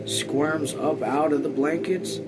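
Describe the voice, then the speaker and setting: Guy reading a book out loud, kinda halting, repeats bits, lightish voice, music playing behind. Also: a little high, fairly even intonation. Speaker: reader of a read-along video channel. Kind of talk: informal reading aloud of a novel.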